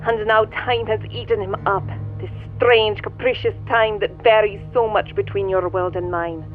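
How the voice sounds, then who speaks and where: A woman playing an imperious, mysterious woman from another universe in a pulp radio drama, her sweet, deliberate voice heard through a radio filter. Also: mid-range voice, fairly even intonation. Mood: solemn, intent. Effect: radio transmission filter. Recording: thin radio-filtered voice, narrow band. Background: music.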